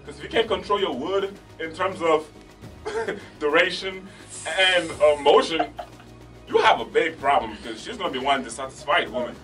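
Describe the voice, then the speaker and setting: A man jokes his way through a radio talk show, boasting and laughing at his own punchlines. His voice is bright and forceful. He sits a bit back from the mic, with faint music under him. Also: a little high, lively intonation, moderate pace. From Africa. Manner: joking, boastful storyteller who laughs at his own lines. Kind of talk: casual talk radio banter. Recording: slightly distant mic, faint music behind.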